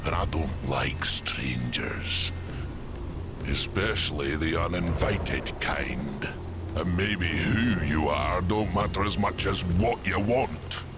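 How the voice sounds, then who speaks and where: A gruff, ancient giant with a deep voice that swings widely. He is a cantankerous, territorial blacksmith who taunts in folksy dialect. Dramatic game music plays loudly behind him. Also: animated, takes it slowly, heavily acted. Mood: menacing. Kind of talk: video game cutscene acting.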